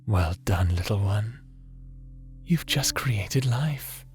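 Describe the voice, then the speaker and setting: A man playing a gentle, fatherly creator god with a hidden weariness in a scripted audio roleplay, soft-spoken and deliberate, sometimes slipping into a whisper. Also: medium pitch. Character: gentle fatherly creator god, tender mentor, secretly haunted and weary.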